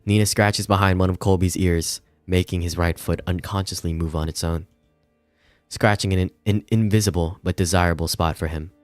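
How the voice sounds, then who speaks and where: A man's voice: deep voice, fairly even intonation, normal speed, dry recording. A man streaming a visual novel, reading the narration aloud with casual asides and some stumbles over the words. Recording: music behind the voice.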